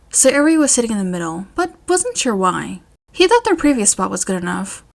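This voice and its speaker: A woman narrates scripted fiction in a mid-range voice. She reads in the manner of an audiobook storyteller. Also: medium pitch. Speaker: podfic narrator.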